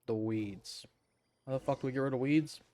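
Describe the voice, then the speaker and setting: Very deep-voiced, sweary young woman streamer. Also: normal speed, dark tone. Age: early 20s. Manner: sweary, sardonic, blunt. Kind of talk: casual gameplay commentary.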